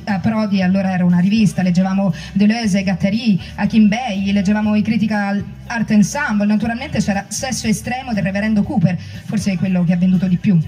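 An actress playing a reflective former political activist in a dramatic reading of oral history. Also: female voice, medium pitch, warm tone, natural voice. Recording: distant microphone, music underneath.

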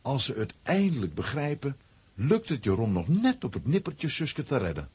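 A man narrating a children's adventure audio play as an expressive storyteller, with a rough, husky voice. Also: mid-range voice, moderate pace, exaggerated voice. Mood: suspenseful.

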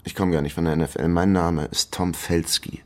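An actor playing a quick-witted male private investigator who talks his way in, in a radio crime drama. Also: sits low, fairly even intonation, natural voice. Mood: frank, persuasive. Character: scruffy private investigator bluffing as an American executive, quick-witted.

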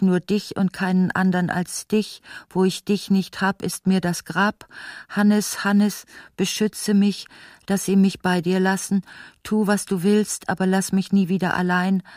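A woman's voice: medium pitch, darkish tone, no room sound, studio quality, natural voice. An actress playing a lonely, grieving, bitterly defiant revolutionary woman in an intense, intimate literary radio play. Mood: pleading, anguished. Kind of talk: literary radio play, soliloquy and narrated stage directions.

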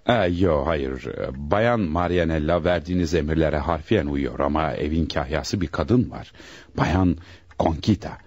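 An obedient policeman in a radio drama. The deep, rough-edged voice is recorded very dry, and the delivery is deferential and slightly hesitant while reporting. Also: unhurried, a little mellow, dry recording, lo-fi sound. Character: obedient male policeman guarding a delirious patient. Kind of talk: radio theatre acting.

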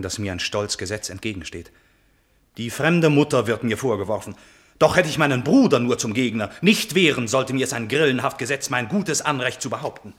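An actor playing a noble, earnest young prince in a classical verse radio drama, with formal, stately declamation. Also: male voice, medium pitch, a bit bright.

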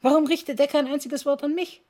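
A soft, dark-toned voice pitched a little high, an actress as a bitter middle-aged mother in a radio drama. She is curt and reproachful, holding people at a distance. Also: steady tone, quick pace, natural voice. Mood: reproachful, suspicious.

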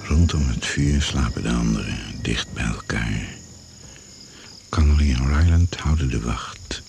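An actor narrating a radio drama as a grave, measured storyteller in terse sentences. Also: male voice, very deep voice, gravelly, darkish tone, faint music behind, full character voice. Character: grave male narrator setting jungle scenes. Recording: noisy old radio play recording.

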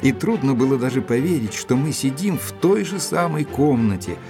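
An actor playing a thoughtful gentleman investigator, narrating a mystery in a measured, deliberate literary style. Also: mid-range voice, expressive, over music, natural voice. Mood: measured, suspicious. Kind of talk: dramatized first-person literary narration.

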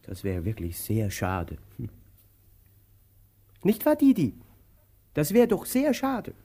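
A man acting a weary, childlike tramp in a radio play, plaintive and halting, swinging abruptly from murmur to outcry. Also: mid-range voice, dark tone, heavily acted. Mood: plaintive, needy. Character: weary, childlike, querulous tramp, needy and forgetful. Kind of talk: theatrical radio play dialogue.

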